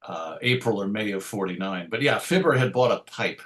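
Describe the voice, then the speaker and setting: A man's voice: on the low side, animated, brisk, a bit bright. A man speaking as an interview guest, conversational and thoughtful, illustrating his points with anecdotes and quoted asides. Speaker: interview guest, radio show host.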